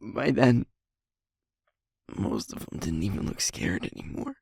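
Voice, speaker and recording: A man playing a guilt-ridden young werewolf boyfriend in an intimate audio roleplay, speaking haltingly and rawly in a husky, creaky, breathy voice. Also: fairly low pitch, huge pitch swings, a little mellow, dry recording, clean studio sound, heavily acted. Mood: grieving, hopeless. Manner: halting, emotionally raw, broken-up phrases.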